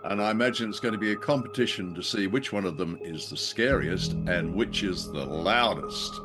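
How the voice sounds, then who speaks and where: A man's voice: sits low, moderate pace, music in the background. A man co-hosting a podcast, talking in a wry, joking way. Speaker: podcast co-host.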